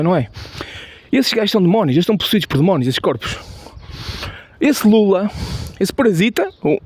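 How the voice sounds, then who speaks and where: A man with a European Portuguese accent giving an unscripted opinion monologue, halting and repetitive with many restarts and emphatic stress. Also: high-pitched, darkish tone, breathy edge. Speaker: video commentator.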